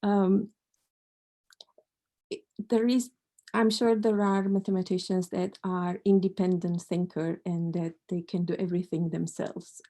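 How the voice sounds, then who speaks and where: Middle-aged woman prof from romania, dark gravelly voice, thinks out loud with lots of restarts. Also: on the low side, level tone, unhurried. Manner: thoughtful, hesitant with many restarts. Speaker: mathematics professor. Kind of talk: panel talk answering questions.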